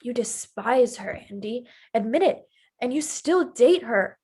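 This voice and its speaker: A woman's voice: a little high, expressive, a little slow, darkish tone, breathy edge. A woman playing a guarded, insistent young woman in a drama table read. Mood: conflicted, pressing.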